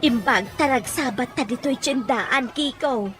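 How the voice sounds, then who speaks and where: A woman's voice: high voice, a little slow, over music. A woman with an Ilocano accent playing a grumbling, suspicious woman in a radio drama.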